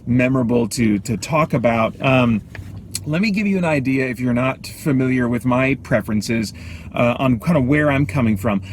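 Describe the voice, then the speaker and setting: Halting man reviewing films, faint background noise. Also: mid-range voice. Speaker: film reviewer and host. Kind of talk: casual unscripted movie review. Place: inside a car. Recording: light background noise inside a car.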